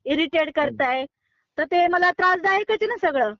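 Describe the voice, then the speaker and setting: A high-voiced woman argues on a phone call. She is firm and exasperated in an unscripted exchange. The audio is close and dry, slightly dull and lo-fi. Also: lively intonation, moderate pace, fairly bright tone, no echo. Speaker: online recipe video creator receiving a prank call.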